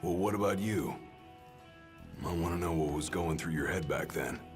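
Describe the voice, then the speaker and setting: Super deep tough bodyguard guy, a man voicing him, short blunt replies. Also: moderate pace, soft background music, close to an everyday voice. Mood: gruff. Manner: blunt, laconic. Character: tough, gruff young royal bodyguard.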